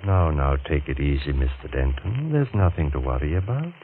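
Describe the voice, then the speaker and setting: An actor playing a measured, authoritative doctor with a bitter, pointed edge in a 1940s radio drama. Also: male voice, extremely low pitch, lively intonation. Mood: calm, authoritative. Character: smooth-talking, bitter doctor, a jilted former suitor. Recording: scratchy, narrow-band 1940s radio recording.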